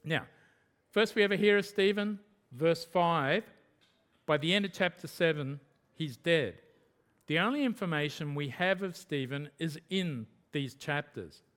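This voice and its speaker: An Australian man delivering a sermon as the pastor, his voice sitting a touch higher than most men's. He speaks earnestly and emphatically, leaning into his main points and repeating them for weight. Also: unhurried, fairly bright tone. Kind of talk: expository sermon.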